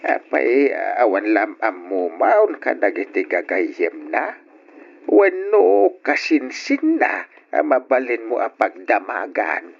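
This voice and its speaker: A man's voice: mid-range voice, very animated, takes it slowly, vocal fry, breathy edge, full character voice. A man acting an insistent, concerned older father in an old Ilocano radio drama. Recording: dull old radio recording.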